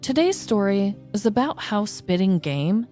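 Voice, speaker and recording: A young adult woman co-hosting a podcast, chatting casually and telling a story, animated with quick laughing asides. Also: medium pitch, faint music behind. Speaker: podcast co-host. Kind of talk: casual chat and scripted storytelling.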